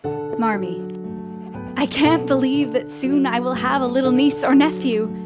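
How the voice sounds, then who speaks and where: A woman's voice: sits high, steady tone, a little slow. A woman playing an eager, polite young woman writer in an audio drama, earnest and easily flustered. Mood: warm, earnest. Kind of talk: audio drama acting with letter narration. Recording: music behind the voice. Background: music.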